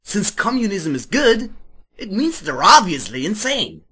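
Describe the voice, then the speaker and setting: A performer as a husky, zealous teenage schoolboy. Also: medium pitch, very animated, unhurried, pushed and bright, exaggerated voice. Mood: smug, earnest. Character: zealous, self-righteous teenage schoolboy, indignant communist convert. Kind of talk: amateur comedic dramatic reading.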